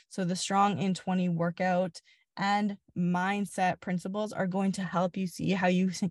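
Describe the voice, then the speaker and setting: A woman's voice: mid-range voice, darkish tone, no room sound, clean studio sound. A woman podcast host and fitness coach speaking casually and directly to listeners in a friendly way. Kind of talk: casual solo podcast talk.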